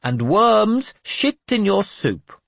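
A lofty, crafty madman of a bishop, his voice pitched high and stretched out in a slow, sing-song sermon cadence. He sounds slightly husky, and the sound is dry and close, as in an audiobook. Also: big pitch swings, no echo, exaggerated voice. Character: mad, sly, lofty self-proclaimed male bishop.

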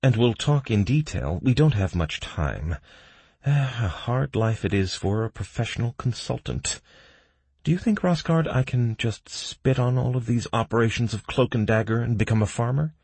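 A man's voice: mid-range voice, expressive, moderate pace, darkish tone, husky, dry recording, pitched above the performer's own voice. A man voicing a flamboyant, theatrical scheming consultant in an audiobook, grandiose and wry with an exclamatory delivery. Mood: mock-weary, theatrical.

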